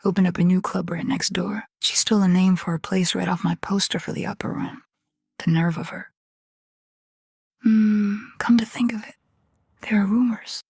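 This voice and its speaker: A woman's voice: mid-range voice, steady tone, moderate pace, slightly rough, no room sound, clean studio sound. A woman playing a proud, irritated, slangy nightclub owner in a noir radio drama. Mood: indignant, suspicious. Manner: sassy, slangy, commanding. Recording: loud music under the voice.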